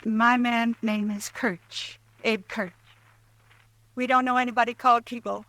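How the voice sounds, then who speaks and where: An actress playing a weary, guarded homesteader wife in an old radio drama, answering in short, curt lines. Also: female voice, a little high, measured pace, dry recording, poor audio. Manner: curt, flat, guarded replies. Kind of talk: 1950s radio drama acting.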